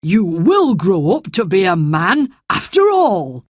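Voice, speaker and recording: A woman voicing a gruff, cross but kindly old male gardener in a storybook reading. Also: lowish voice, wildly swooping pitch, slow, dry recording, studio quality, heavily acted.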